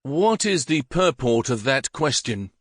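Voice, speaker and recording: A man's voice: medium pitch, sing-song, unhurried, no echo, studio quality, voice pushed up. A man voicing an impatient middle-aged lawyer who speaks formally and grows heated easily. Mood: indignant.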